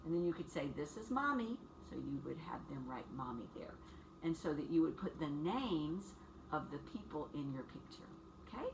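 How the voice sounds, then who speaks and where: A woman's voice: low voice, big pitch swings, normal speed. A woman teacher doing a read-aloud for young children, talking to them in simple, encouraging phrases and questions. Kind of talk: read-aloud story time and instructions to small children. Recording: distant mic with clear background noise.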